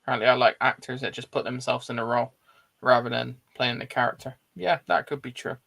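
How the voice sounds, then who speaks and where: A man's voice: medium pitch, fairly even intonation, fast, vocal fry, sharp tone. A man co-hosting a casual movie chat in an informal, blunt, sweary way. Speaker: film discussion show co-host.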